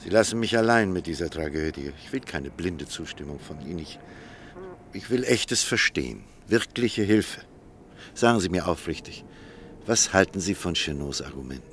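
An actor playing a morally doubtful doctor in a German radio play, serious, searching and intense in manner. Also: male voice, sits low, lively intonation, normal speed, slight background noise. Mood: pleading, subdued.

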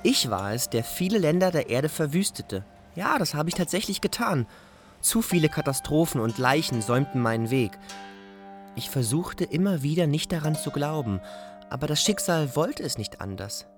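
A man's voice: a little high, very animated, normal speed, faint music behind. A man playing a self-blaming, fatalistic young refugee who narrates his wanderings in a literary radio drama. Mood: self-accusing.

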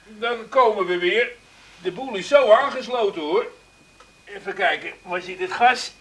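A man's voice: high voice, unhurried, pushed and bright, breathy edge, full character voice, low-quality recording. An actor in a radio drama playing a breezy, jokey but unbending utility man, offhand, folksy and patronising. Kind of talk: naturalistic Dutch radio drama acting.

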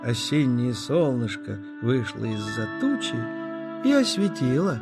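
A man narrating a scripted children's story in a gentle, expressive storyteller's manner. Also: mid-range voice, unhurried, loud music behind the voice.

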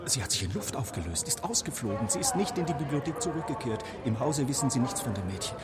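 An actor in a German radio play as a private detective, reporting in a brisk, matter-of-fact way. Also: male voice, medium pitch, big pitch swings, loud music behind the voice, lightly acted. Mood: urgent. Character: adult male private detective reporting to his client.

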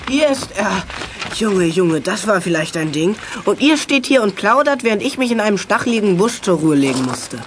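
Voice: mid-range voice, sing-song, moderate pace, a little hiss or hum, lightly acted. A young-sounding performer playing a wry, sarcastic, sporty boy in a German children's audio drama. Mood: sarcastic, annoyed.

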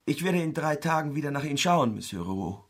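An actor playing a polite, hesitant country doctor in a literary radio play. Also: male voice, fairly high pitch, sing-song, fairly quick.

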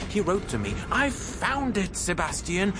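Urbane Englishman, high animated voice. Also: unhurried, over music, heavily acted. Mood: intense. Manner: articulate, dramatic storytelling. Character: Sebastian, urbane English man recounting a lost love. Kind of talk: radio drama narration and dialogue.

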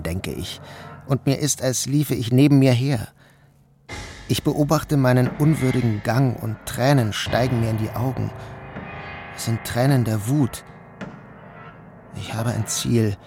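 A man narrating a literary audio drama in the first person, calm, measured and introspective. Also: medium pitch. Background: music.

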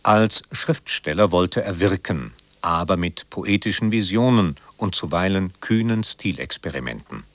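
A man reading a scripted biographical introduction as a radio presenter, in a measured, formal manner. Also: deep voice, animated, dry recording.

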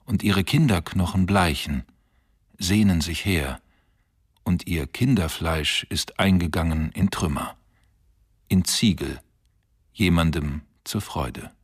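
A man with a husky voice reciting a mournful poem slowly. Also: low voice, animated, no room sound, clean studio sound. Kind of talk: slow poetic recitation.

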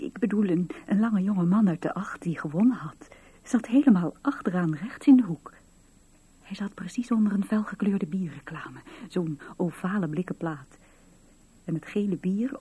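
An actress playing an impulsive eighteen-year-old girl in love in a radio play, breathy and a little hesitant. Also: lowish voice, warm tone. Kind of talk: radio drama recollection and dialogue.

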